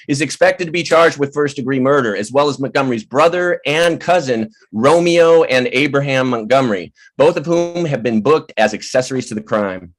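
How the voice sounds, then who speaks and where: A man's voice: medium pitch, lightly acted. A man playing an urgent field reporter live at a protest, in heightened news delivery for a drama table read.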